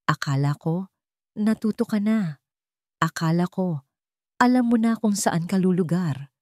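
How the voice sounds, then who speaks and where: A woman voicing a domineering husband in an audio story, calm but dangerous. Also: on the low side, a little slow, no room sound, studio quality. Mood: calm menace. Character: cold, menacing, domineering husband.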